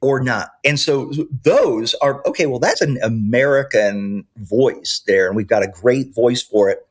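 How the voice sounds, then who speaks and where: A man's voice: medium pitch, unhurried. A man speaking as a podcast guest, explaining his product in an enthusiastic, conversational way. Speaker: podcast guest, software maker.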